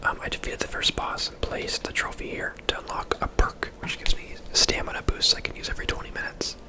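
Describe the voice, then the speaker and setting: A man giving casual, unhurried ASMR game commentary in a conversational whisper as he plays. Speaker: ASMR gaming host.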